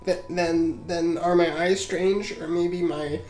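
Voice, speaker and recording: Woman playing an insecure rich teen girl, slow, echoey. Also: on the low side, fairly even intonation, a little mellow. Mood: insecure, whiny. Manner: whiny, blunt, dramatically self-pitying. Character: foul-mouthed, insecure, tearful rich teenage girl. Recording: roomy echo, music underneath.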